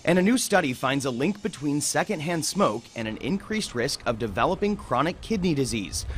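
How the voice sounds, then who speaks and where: American man, news correspondent, scripted report, lively pitch movement, faint background noise.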